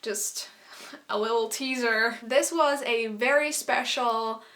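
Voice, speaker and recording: A young woman from Finland, a native Finnish speaker, chatting casually to camera as a vlogger in an animated storytime style. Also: on the high side. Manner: chatty and animated, quick asides and quoted exclamations.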